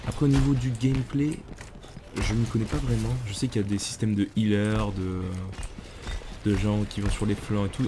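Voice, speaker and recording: A man with a cold, chatting in slang and getting excited while commenting on a game to his viewers. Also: fairly low pitch, noisy background. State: has a cold. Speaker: gamer. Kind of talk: casual gameplay commentary. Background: game music and sounds.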